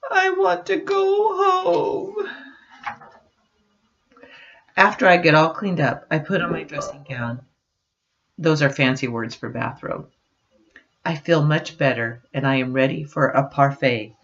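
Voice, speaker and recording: A woman's voice: rumbling low, moderate pace. An older woman reading a picture book aloud to her grandchildren, chatting to them expressively as she goes. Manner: expressive, addressing children directly. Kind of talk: read-aloud storytelling with chatty asides. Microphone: computer webcam microphone. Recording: dull, lo-fi home webcam sound.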